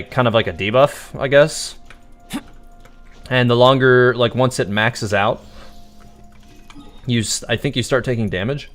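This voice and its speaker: Low-voiced man chatting on a game stream. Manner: rambling, halting, chatty. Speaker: game livestreamer. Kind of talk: casual live gameplay commentary.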